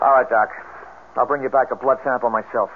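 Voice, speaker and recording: Casting: actor as anxious, earnest young swamp dweller, mid-range voice, urgent halting delivery, hissy vintage radio drama. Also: male voice, mid-range voice, steady tone, natural voice. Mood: pressing, concerned. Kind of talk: 1970s radio drama acting. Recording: noisy, tinny vintage radio broadcast.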